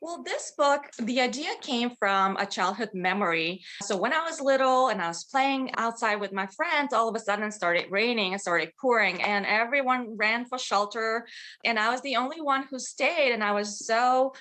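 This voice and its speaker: A woman's voice: on the high side, normal speed, pushed and bright. Bulgarian-born woman author, enthusiastic. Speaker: children's author, guest. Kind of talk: interview answers, storytelling.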